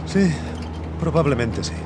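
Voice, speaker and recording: Animated, higher-voiced Castilian treasure hunter. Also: male voice, unhurried, very noisy. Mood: keen explaining. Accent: Castilian Spanish. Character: eager, quick-witted treasure hunter in his thirties. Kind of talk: dubbed action-adventure game acting. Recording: loud music over the voice.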